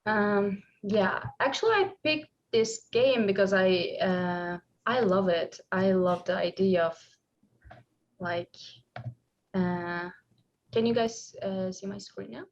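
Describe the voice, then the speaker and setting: A woman from Uzbekistan with an Uzbek accent, a native Uzbek speaker talking in English as a guest chess player in an online class, halting with many fillers and cheerful laughs. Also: medium pitch, lo-fi sound.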